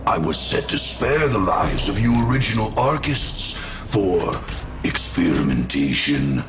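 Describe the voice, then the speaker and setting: A man playing an old evil general in a video game, gravelly and pompous, with theatrical, gloating menace. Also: sits low, big pitch swings, measured pace. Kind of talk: video game villain acting. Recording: loud game music over voice.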